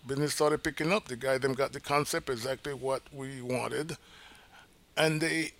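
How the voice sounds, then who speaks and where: A chef from Panama with a gravelly voice, talking in a casual interview. He drives his points home by repeating them. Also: medium pitch, fairly even intonation, normal speed, fairly bright tone. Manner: emphatic, repeats key points.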